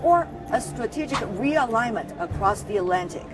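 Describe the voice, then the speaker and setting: A woman's voice: fairly high pitch, expressive, normal speed, far from the mic, over music. A woman news host delivering scripted narration in a news report.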